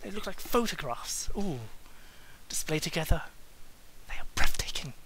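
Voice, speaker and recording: A man's voice: fairly high pitch, expressive, normal speed, a bit bright, in a whisper, rough recording. A man giving a goofy, exaggerated comedic reading of prose, often stumbling and breaking into asides. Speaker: amateur reader making a comedic dramatic reading. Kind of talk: hammy comedic audiobook-style narration.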